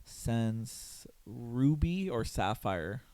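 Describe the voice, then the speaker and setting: Hoarse man hosting a casual podcast. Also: medium pitch, animated, drawn-out words, mellow, dry recording. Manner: rambling, loose and profane, with lots of fillers and laughs. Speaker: podcast host. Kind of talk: casual chat.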